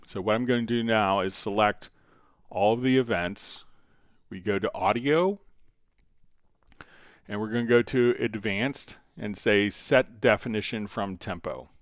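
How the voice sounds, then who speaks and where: Male software tutorial host, lowish voice, casual step-by-step explainer, dry close mic. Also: measured pace, no room sound. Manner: talks through each step as he works. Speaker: host answering viewer questions on a software tutorial stream.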